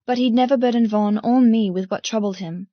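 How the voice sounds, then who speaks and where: A woman's voice: fairly high pitch, a little fast, dry recording, highs cut off. A woman reading first-person dramatic fiction as an audiobook narrator.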